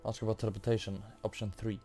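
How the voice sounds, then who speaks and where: A man's voice: lowish voice, level tone, fast talker, warm tone, faint music behind. A man giving casual commentary as he plays through a game, hesitantly thinking aloud. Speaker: gamer narrating a quest playthrough.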